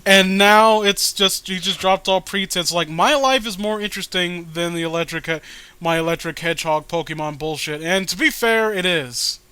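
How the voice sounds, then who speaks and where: A man's voice: sits high, normal speed, pushed and bright, smooth, no echo. A man giving casual, mocking read-along commentary in a profane, sarcastic, ranting way. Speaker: host.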